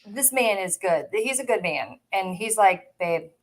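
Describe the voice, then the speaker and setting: A woman's voice: medium pitch, expressive, a little slow. A woman co-hosting a casual reseller chat, bubbly and gushing, laughing often. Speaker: co-host of a reseller chat show.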